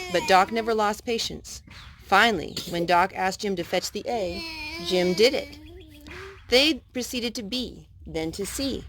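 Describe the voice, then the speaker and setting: Woman reading a children's story aloud, some noise. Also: mid-range voice. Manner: expressive, chatting to a child between passages.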